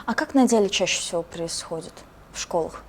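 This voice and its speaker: Woman news interviewer, mid-pitched voice, direct and probing. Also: animated, a little fast, slight background noise.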